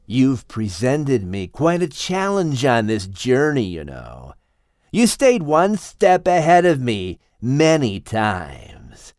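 A menacing king cobra in an audiobook tale. The delivery is extremely slow and drawn out, swooping up and down as it gloats and taunts. The sound is dry and close. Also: medium pitch, huge pitch swings, darkish tone, no echo. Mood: smug, boastful. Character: menacing, boastful male king cobra villain. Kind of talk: dramatized children's audiobook acting.

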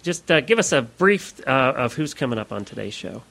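A man with an American accent hosts a casual podcast. His bright, forceful voice swings widely in pitch as he chats in a folksy way. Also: big pitch swings, fairly quick, vocal fry. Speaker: podcast host. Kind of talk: folksy, casual host banter and sponsor plugs.

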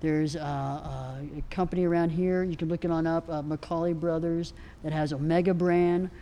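A soft-spoken woman gives advice at a live panel, her voice pitched a little low and mellow. She rambles, circling back with fillers and restarts. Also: steady tone, moderate pace, muffled recording. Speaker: equine nutrition expert answering questions. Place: outdoor event festival.

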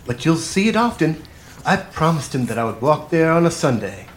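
A man playing a meek, tender, humble clerk in a live radio play, soft-hearted and apologetic. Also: fairly high pitch, very animated, moderate pace, slight background noise. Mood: tender grief.

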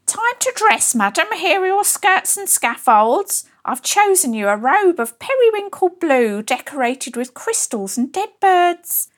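A woman's voice: extremely high pitch, sing-song, measured pace, few pauses, voice pushed up, heavily acted. A woman voicing an eager, fussy, deferential maid in a comic period audio drama. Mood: brisk, eager. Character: fussy, eager young maid of a Regency lady.

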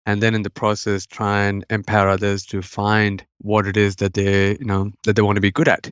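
A man's voice: fairly low pitch, smooth, dry recording, clean studio sound. A middle-aged man with an Indian accent, raised in India, talking reflectively as a podcast guest about his background, with frequent restarts and "you know". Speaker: guest, mental health educator. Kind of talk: podcast interview.

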